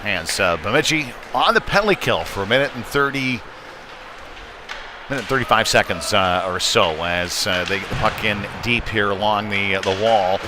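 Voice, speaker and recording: A man doing live hockey play-by-play in halting, off-the-cuff phrases, as the announcer on a game stream. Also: fairly low pitch, animated. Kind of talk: live sports commentary. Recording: noisy live rink stream.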